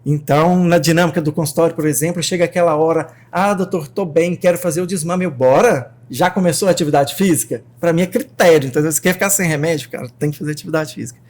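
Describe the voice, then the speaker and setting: A man with a Brazilian Portuguese accent hosting a casual podcast as a psychiatrist, animated and talking straight to his listeners. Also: on the high side, quick pace, hardly any pauses. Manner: animated, talks straight to the audience.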